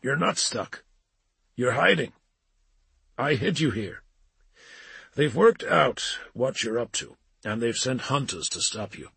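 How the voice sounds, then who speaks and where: A man's voice: medium pitch, sing-song, takes it slowly, creaky, long pauses, fairly bright tone, breathy edge, no echo, heavily acted. A man voicing a breezy, blunt and persuasive male psychic agent in an audiobook. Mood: insistent. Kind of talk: audiobook character dialogue.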